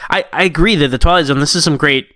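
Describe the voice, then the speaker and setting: A man's voice: on the high side, quick pace, pushed and bright, no room sound, studio quality. A man co-hosting a podcast in casual chat, informal and given to acting out little quotes as he reviews stories. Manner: informal, peppers talk with "like" and mimicked quotes. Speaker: podcast co-host.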